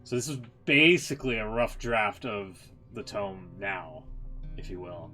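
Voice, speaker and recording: A man's voice: on the low side, animated, unhurried, pushed and bright, soft background music. A man giving casual, informal live stream commentary, muttering asides as he goes.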